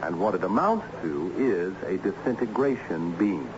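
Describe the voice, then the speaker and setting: An actor playing a harried, ironic assistant principal in an old-time radio drama, theatrical and patiently explanatory. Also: male voice, sits low, lively intonation, moderate pace. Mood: enthused, explanatory. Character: harried, excitable male school assistant principal with ironic humour. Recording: dull, noisy old radio recording.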